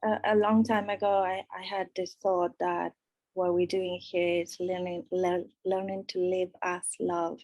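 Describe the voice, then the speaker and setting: A woman on a group video call shares a soft, grateful reflection. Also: lowish voice, little pitch movement, a little slow.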